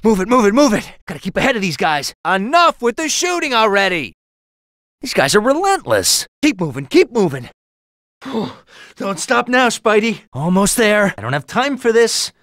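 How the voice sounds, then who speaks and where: An actor playing a wisecracking, earnest young superhero in a video game, with a boyish, jokey and exclamatory delivery. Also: male voice, high voice, big pitch swings, moderate pace, a bit bright, no room sound, clean studio sound. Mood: urgent. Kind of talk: animated video game voice acting, quips and tutorial hints.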